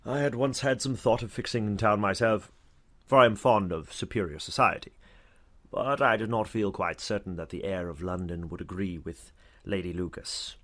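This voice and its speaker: An actor playing a genial, talkative older knight in a dramatized audiobook, speaking in an effusive, courtly way. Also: male voice, fairly low pitch, normal speed, a bit bright, lightly acted. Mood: sociable.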